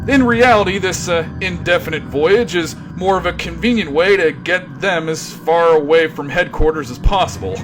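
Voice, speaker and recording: A man playing a droll, arch narrator of a sci-fi comedy radio drama, with theatrical hesitations. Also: high voice. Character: wry, hesitant storybook-style male narrator.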